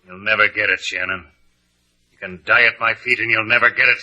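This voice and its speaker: An actor playing a suspicious, menacing small-time crook in an old radio drama, snarling and defiant. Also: male voice, lowish voice, fairly even intonation, brisk, dull audio, background noise, close to an everyday voice. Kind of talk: 1950s radio drama acting.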